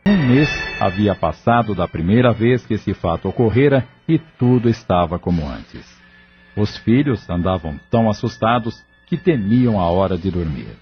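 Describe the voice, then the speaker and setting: A man's voice: lowish voice, lively intonation, normal speed, lo-fi sound, close to an everyday voice. A man with a Brazilian accent narrating a scripted audio novel as its storyteller. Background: music.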